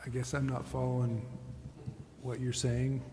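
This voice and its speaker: A man's voice: mid-range voice, completely flat delivery, unhurried, dark tone. A man giving brief, matter-of-fact answers as a city official at a council meeting. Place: council chamber. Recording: reverberant hall with faint noise.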